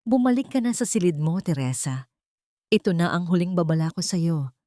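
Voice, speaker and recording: A woman's voice: on the low side, normal speed, dark tone, no echo, studio quality, natural voice. A woman voicing a cold, stern and disappointed wealthy father in an audio drama story. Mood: stern warning. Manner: stern, accusing.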